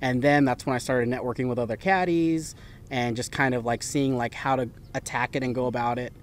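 A young adult man born in the Philippines, a professional golf caddie answering interview questions in a relaxed, rambling way. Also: mid-range voice, a little fast, slight background noise. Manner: relaxed, talks in loose run-on phrases with fillers. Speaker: PGA Tour caddie, guest.

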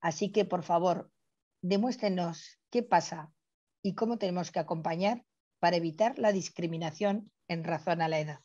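A woman's voice: on the low side, normal speed, mellow. A middle-aged woman introducing a speaker in an effusive, emphatic way with rhetorical asides, partly reading out. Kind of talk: introduction speech, partly read out. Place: indoor room, on a video call. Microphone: earphone headset on a video call. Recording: dull lo-fi video call sound.

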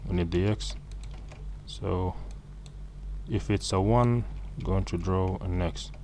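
A man's voice: low voice, darkish tone, a little hiss or hum. A man whose first language is Arabic, walking through code in a screen-recorded programming tutorial, with frequent fillers and restarts. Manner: halting, many fillers and self-corrections.

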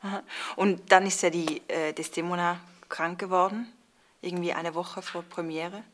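Lower-voiced young woman telling a story. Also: steady tone, normal speed, a little hiss or hum. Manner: chatty storytelling with laughs. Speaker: actor. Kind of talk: interview. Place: indoor theatre space with brick wall.

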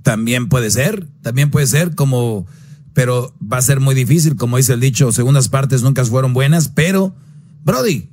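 A man's voice: moderate pace, a little mellow. A man hosting a call-in advice show on the radio, blunt and preachy, pushing his voice up to stress a point. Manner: blunt, preachy, swings pitch up for emphasis.

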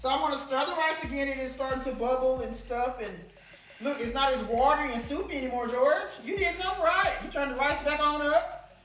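A man's voice: very high voice, a little fast, fairly bright tone. An American man in his thirties cooking as a guest, with teasing, sassy, casual banter. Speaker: guest cook. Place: home kitchen, indoors. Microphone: camera a few feet away. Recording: echoey kitchen, distant mic, music behind.

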